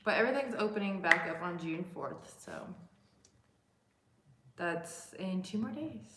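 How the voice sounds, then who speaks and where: A woman's voice: lowish voice, lively intonation, a little slow, heavy background noise. A woman in a casual chat, easygoing and adding short remarks to the conversation. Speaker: vlog co-host. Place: large echoey house. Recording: echoey room.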